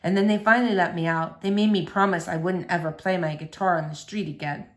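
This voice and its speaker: A woman voicing a lonely, gentle middle-aged man, a shy ex-convict guitarist who talks in a quiet, slow mumble. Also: fairly low pitch, steady tone, voice pushed down, natural voice. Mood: quiet confessing.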